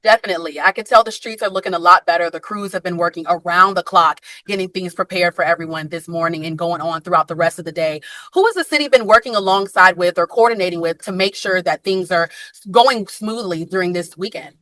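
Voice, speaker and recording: Bright-voiced American woman reporter, dry sound. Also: fairly low pitch, brisk, no room sound. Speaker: local TV news reporter. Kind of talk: live news interview. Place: outdoors reporting on snowy roads.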